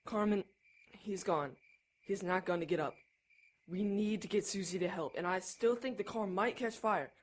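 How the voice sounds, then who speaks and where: A woman's voice: mid-range voice, normal speed, close to an everyday voice. A woman acting an anxious, earnest, protective teenage brother in an audio drama, hesitant yet urgent. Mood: hesitant, concerned. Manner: hesitant, urgent, literal-minded.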